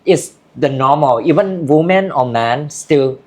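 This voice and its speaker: A woman's voice: low voice, animated, a little slow, darkish tone. A woman from Thailand with a Thai accent, speaking candidly as an interview guest in loose, broken English. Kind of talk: casual interview chat.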